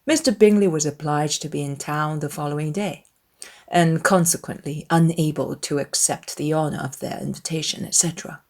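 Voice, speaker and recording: A woman narrates a classic novel as a dramatized audiobook. Her voice sits a little low, and she tells the story expressively, in measured phrases. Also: vocal fry.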